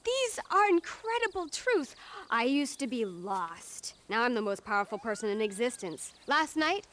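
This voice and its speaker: An actress playing a zealous, spacey cult recruiter in a video game cutscene, preachy, condescending and grandiose. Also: female voice, on the high side, big pitch swings, moderate pace, fairly bright tone, a little hiss or hum. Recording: music under the voice.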